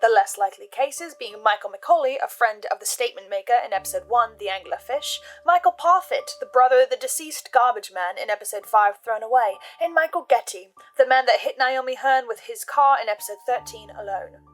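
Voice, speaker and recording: A woman hosting a recap show, laying out her notes and theories in a deliberate, measured way with dramatic pauses. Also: fairly high pitch, expressive, soft background music. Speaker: host of a podcast listener's reaction and theory show.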